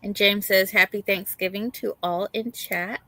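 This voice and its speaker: A woman's voice: mid-range voice, fairly even intonation, measured pace, pushed and bright. A middle-aged woman co-hosting a livestream in casual, folksy chat, reading out and greeting viewers. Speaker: livestream co-host.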